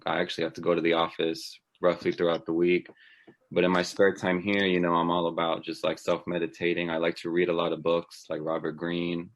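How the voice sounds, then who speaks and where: Casting: upbeat guy in his twenties on a laptop video call, very low voice. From Texas. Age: 20s. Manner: upbeat, chatty, with soft laughs. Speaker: guest. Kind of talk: casual video-call chat.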